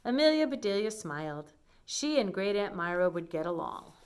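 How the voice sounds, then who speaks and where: A woman reads a children's picture book aloud in an expressive storytelling style. She steps out of the text with simple asides that explain what is going on. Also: mid-range voice, normal speed, clear, resonant tone.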